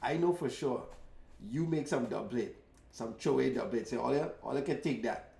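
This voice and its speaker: A man's voice: medium pitch, normal speed, vocal fry, mellow. A man, co-hosting a casual sports talk show, teasing and playful, often asking "you know what I mean?". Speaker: podcast co-host. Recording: echoey room, mic at a distance.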